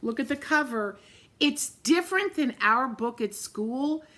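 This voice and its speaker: Slow, bright-voiced woman reading a storybook. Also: high voice, animated. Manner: gentle, pointing out the pictures as she reads; frequent throat clearing. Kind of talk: storytime read-aloud to children.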